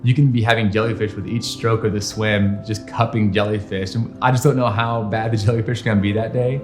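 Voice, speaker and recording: Guy w a lowish voice talking earnestly in an echoey room, soft music behind. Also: little pitch movement. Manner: earnest, measured, reflective. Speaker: triathlete interviewee. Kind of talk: documentary interview.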